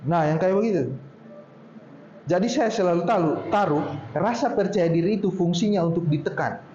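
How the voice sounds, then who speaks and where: High-voiced man with a Poso accent. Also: a little mellow, faint music behind. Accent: Poso regional Indonesian. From Poso, Central Sulawesi, Indonesia. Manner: chatty, drawn-out storytelling tone. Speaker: speaker at a talk. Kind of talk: casual talk to a group. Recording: roomy, dull lo-fi sound with faint ambience.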